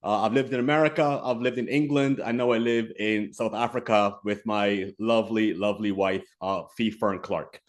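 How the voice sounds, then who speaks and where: Measured man from Barbados presenting. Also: mid-range voice. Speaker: keynote speaker. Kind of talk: online presentation.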